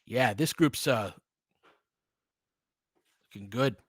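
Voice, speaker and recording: A man's voice: mid-range voice, takes it slowly, slightly rough, dry recording, studio quality. A man giving live basketball play-by-play with informal, slangy fan reactions mixed into casual chat. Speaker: host of a fan play-by-play stream.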